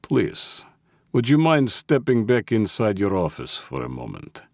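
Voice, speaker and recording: A man voicing a courteous, quietly menacing Russian gangster boss with a Russian accent in an audiobook story. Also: lowish voice, wildly swooping pitch, unhurried, vocal fry, no echo, clean studio sound, pitched above the performer's own voice, heavily acted. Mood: smoothly polite.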